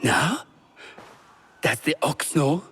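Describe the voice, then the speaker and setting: A woman's voice: low voice, expressive, extremely slow, a bit bright, husky, exaggerated voice. An actress playing a sombre, selfless captive rebel woman in a video game, speaking in a guarded way. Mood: wary. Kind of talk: cinematic video game acting.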